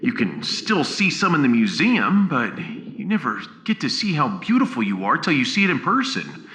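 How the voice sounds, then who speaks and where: A bright, higher-pitched spaceship captain played by a man in a sci-fi adventure, excitable yet wary. His exclamatory lines leap around in pitch through an echoey, faintly noisy recording. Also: moderate pace. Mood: wistful. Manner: animated, exclamatory. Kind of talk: sci-fi adventure audio drama. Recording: echoey reverb with faint noise.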